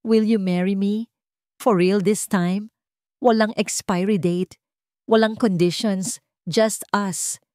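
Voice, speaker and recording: A woman's voice: medium pitch, fairly even intonation, takes it slowly, a little mellow, dry recording, clean studio sound, lightly acted. A woman voicing an earnest, devoted young father speaking in a heartfelt, pleading way in an audio love story. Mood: earnest, tender.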